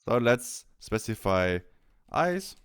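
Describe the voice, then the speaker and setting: A man presenting a software tutorial, explaining things step by step in an instructional way. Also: mid-range voice, sing-song, slow pace, clear, resonant tone, no echo, studio quality. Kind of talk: step-by-step screen tutorial narration.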